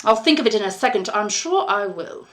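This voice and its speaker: A woman reading a storybook aloud as a polite, puzzled young lodger, a young man who talks in an earnest, halting, musing way. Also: medium pitch, animated, slight background noise, natural voice. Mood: searching memory.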